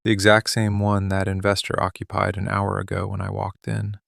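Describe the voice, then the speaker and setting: A man acting a possessive, menacing mafia boss in love, speaking slowly, deliberately and intimately in an ASMR roleplay. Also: low-pitched, monotone, gravelly, no room sound, studio quality, exaggerated voice. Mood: cold, possessive. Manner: slow, deliberate, intense, hushed confiding delivery. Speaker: ASMR voice actor. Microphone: close studio microphone, dry.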